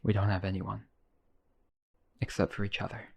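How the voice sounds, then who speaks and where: A man's voice: fairly low pitch, little pitch movement, normal speed, vocal fry, a little mellow. A man playing a lonely, obsessive vampire brother in a boyfriend roleplay audio drama, earnest and coaxing. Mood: wounded, sorrowful.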